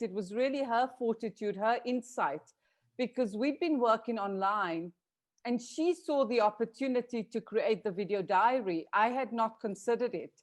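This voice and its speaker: A woman's voice: on the high side, darkish tone. A woman from South Africa answering questions on a video call in an earnest, grateful way, with frequent restarts. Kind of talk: reflective panel discussion.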